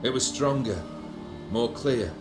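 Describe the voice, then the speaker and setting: A man's voice: mid-range voice, slow pace, echoey room, music in the background, close to an everyday voice. A man playing a proud, melancholy, world-weary nobleman in a video game, with an eloquent, formal and brooding delivery. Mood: brooding, reflective.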